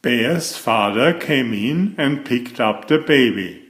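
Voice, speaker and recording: A man reads a children's picture book aloud in an expressive storytelling manner. His pitch moves around a lot and his pace is unhurried. The room adds an audible echo. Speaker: storybook reader and children's author.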